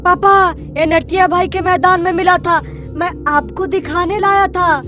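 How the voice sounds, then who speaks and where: Woman as an eager high-voiced little cartoon boy. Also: fairly even intonation, measured pace, music in the background. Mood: pleading, demanding. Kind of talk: cartoon comedy voice acting.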